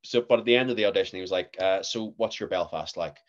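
A young man with a Northern Irish accent, a guest actor telling stories in a casual podcast chat, animated and laughing often. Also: deep voice, fast, muffled recording. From Northern Ireland.

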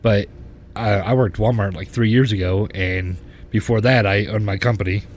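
Man in his thirties, podcast host, slightly low voice, rambling, restarts a lot. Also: fairly even intonation, a little hiss or hum. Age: 30s. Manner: rambling, halting with many restarts. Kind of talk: casual personal storytelling.

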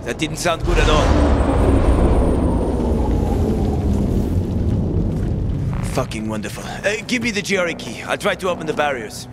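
An actor playing a gruff older male survivor and guide in a video game, wry, cocky and profane. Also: on the high side, very animated, quick pace, over loud music. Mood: urgent, alarmed. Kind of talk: video game dialogue acting.

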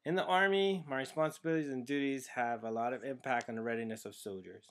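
A man from Trinidad and Tobago, a young adult to his thirties, giving a prepared class video essay, earnest and steady, with some "uh" fillers, recorded on a webcam in a small room at home. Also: medium pitch, fast talker. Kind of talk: prepared personal presentation. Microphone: built-in webcam microphone, close framing.

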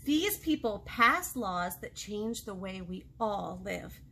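A woman's voice: medium pitch, lively intonation, takes it slowly, vocal fry, pushed and bright, slight background noise. An American woman in her thirties leading a children's storytime, speaking expressively in simple phrases to young listeners. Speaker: children's librarian hosting storytime. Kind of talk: children's storytime read-aloud and chat. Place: small indoor room, seated before a book display.

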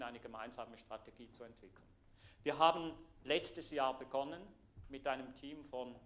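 A man's voice: mid-range voice, a bit bright. Middle-aged swiss guy, thurgau accent, explaining stuff at a conference, lots of uhs, clip mic. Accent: Swiss German (Thurgau). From Thurgau, Switzerland. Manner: earnest, explanatory, pausing with fillers. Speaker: IT architect giving a conference talk. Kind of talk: conference presentation. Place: lecture room, standing before a projected slide.

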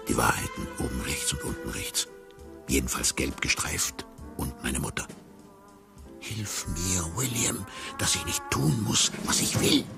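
Radio drama casting: rebellious, brooding tiger, gravelly and slow, theatrical bursts. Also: male voice, low voice, full character voice. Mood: pleading. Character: brooding, rebellious male circus tiger with toothache.

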